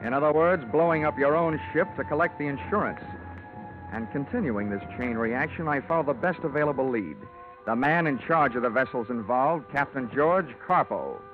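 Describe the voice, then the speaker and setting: An actor playing a wry, hard-boiled insurance investigator, deadpan and wisecracking, in an old-time radio detective drama. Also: male voice, mid-range voice, moderate pace, over music, close to an everyday voice. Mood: wry, narrating. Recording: tinny vintage radio recording.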